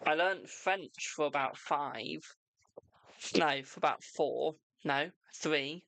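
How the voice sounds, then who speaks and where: A British tarot reader hosting a live stream, chatting casually and answering viewers' questions with a brisk "my darling". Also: medium pitch, gravelly, fairly bright tone, dry recording, low-quality recording. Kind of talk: casual live-stream chat and card readings.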